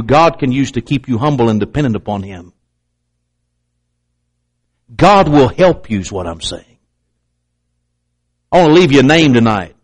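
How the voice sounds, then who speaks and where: A man preaching a sermon with emphatic, repetitive pulpit delivery and pointed asides to the congregation. He has a slight stutter and sometimes catches on a word. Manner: slight stutter, clamps up on words; acts out doubters in a raised pitch.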